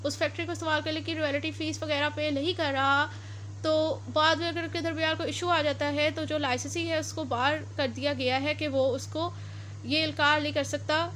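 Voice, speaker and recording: A woman's voice: high voice, flat delivery, moderate pace, fairly bright tone, slight background noise. A woman giving a law lecture, explaining step by step and often stopping to correct herself. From Pakistan.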